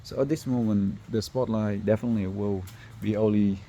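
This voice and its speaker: A man with a deep, mellow voice talks through a workshop job for a video. His English is non-native and comes haltingly, in an informal explaining style. Light noise sits behind him. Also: fairly even intonation. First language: not English. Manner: halting, hesitant phrasing. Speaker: car installer presenting finished work.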